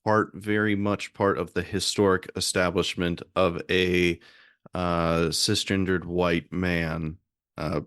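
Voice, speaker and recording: An American man with a deep voice hosting a conversational interview, talking in a rambling, hesitant way and often correcting himself. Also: level tone, slow pace, a bit bright, smooth, no echo, studio quality. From southern United States. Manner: extroverted. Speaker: podcast host, music theorist.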